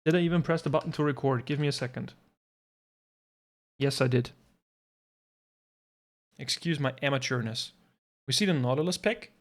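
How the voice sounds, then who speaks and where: A man's voice: medium pitch, normal speed, long pauses. A man giving casual live commentary on an esports match from his home setup, thinking aloud and adding self-deprecating asides. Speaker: esports co-streaming caster.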